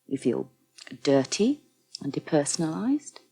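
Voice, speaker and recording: An actress playing a firm, supportive crisis counsellor in a radio soap, earnest and plain-spoken. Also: female voice, low-pitched, very animated, unhurried, dark tone, breathy edge, heavily acted, rough recording. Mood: reassuring, earnest. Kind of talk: British radio soap acting.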